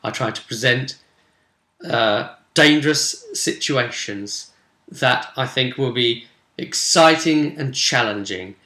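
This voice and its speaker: A man's voice: mid-range voice, takes it slowly, bright, forceful tone. A man giving a casual, rambling and enthusiastic recap as a tabletop game master. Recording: roomy echo.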